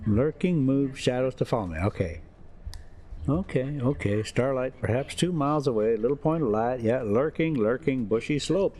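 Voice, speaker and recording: A man hosting a story time, reading aloud in a deliberate way with short, halting phrases. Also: fairly low pitch. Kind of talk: audiobook-style story reading with casual asides.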